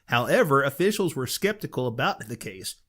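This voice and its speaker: A man's voice: mid-range voice, very animated, a little fast, fairly bright tone. Casting: middle-aged male podcast co-host, amused, reads quirky news headlines with chuckles. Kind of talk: casual chat, reading news stories aloud.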